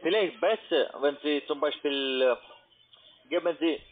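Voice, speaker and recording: A man with a foreign accent in German, a non-native speaker giving instructions in halting, broken sentences on a phone call. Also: a little high. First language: not German. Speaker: phone scam caller posing as payment agent. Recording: muffled narrowband phone line.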